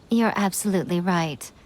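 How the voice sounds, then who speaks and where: A woman playing an elegant, sly shrine maiden in a video game, poised, measured and teasingly condescending. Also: mid-range voice, big pitch swings. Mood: composed, condescending.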